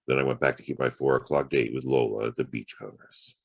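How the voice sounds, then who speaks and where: An American actor playing a world-weary, hard-boiled private detective with a soft streak in a noir audio drama, gravelly and laconic. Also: male voice, very deep voice, steady tone, brisk, exaggerated voice. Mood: wry, weary. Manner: laconic, colloquial tough-guy delivery. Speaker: actor playing the lead and narrator. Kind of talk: noir audio drama dialogue and first-person narration.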